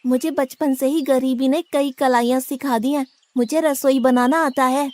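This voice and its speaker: A woman's voice: sits high, fairly even intonation, normal speed, darkish tone, dry recording, close to an everyday voice. A woman voicing a naive, blunt, stubborn young village lad with a big appetite in a moral story. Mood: matter-of-fact. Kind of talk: animated moral story dialogue in Hindi.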